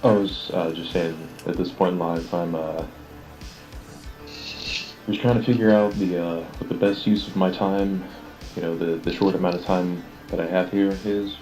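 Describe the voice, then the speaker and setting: An eighteen-year-old man in a casual group chat, speaking in a measured, hesitant way. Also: deep voice, fairly even intonation, dark tone, faint music behind, rough recording. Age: young adult.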